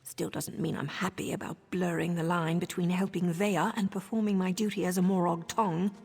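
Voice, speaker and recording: A woman's voice: fairly low pitch, steady tone, normal speed, vocal fry, darkish tone, slightly rough, in a large hall. A woman playing a sardonic, impatient assassin in a video game, with a wry, threatening delivery. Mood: wry menace. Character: sardonic, impatient female assassin, deadly and businesslike. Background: game music.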